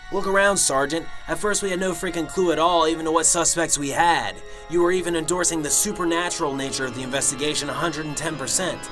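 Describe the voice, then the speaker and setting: A man's voice: on the high side, steady tone, fast, hardly any pauses, a bit bright, soft background music, natural voice. A man playing a cynical, sarcastic police detective who sounds skeptical and confrontational, in an amateur radio drama. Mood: accusing.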